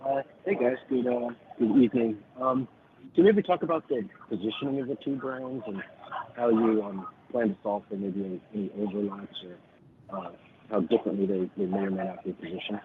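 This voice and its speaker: A man calling in as a financial analyst, asking questions in an informal, halting way. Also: on the low side. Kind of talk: casual questioning on earnings call. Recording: tinny phone line, clear background noise.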